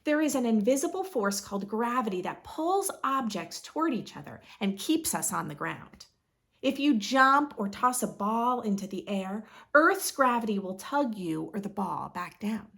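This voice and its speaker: A woman reading a picture book aloud to young children in an expressive, instructive way, as a read-aloud host. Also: a little high, normal speed. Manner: expressive, instructive, asks listeners questions.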